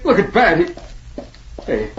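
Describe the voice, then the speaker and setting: Panicky outlaw, highish voice, rough folksy talk, 1940s radio serial, dull recording. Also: male voice, unhurried, heavily acted. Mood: panicked. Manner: excitable, folksy, rough-spoken. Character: jumpy, panicky, gullible male outlaw in the Yukon. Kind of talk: 1940s radio adventure acting.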